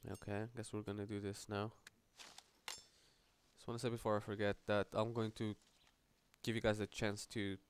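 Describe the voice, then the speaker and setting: A man's voice: on the low side, little pitch movement, normal speed, pauses often, slight background noise. A man giving casual gameplay commentary in a hesitant, rambling, muttering way. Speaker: gaming video host.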